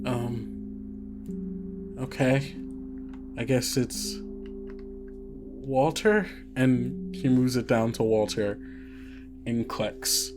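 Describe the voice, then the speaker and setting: A man's voice: medium pitch, music in the background. A man narrating as game master, hesitant and filling gaps with "uh" and "like". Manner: halting, lots of fillers like "uh" and "like".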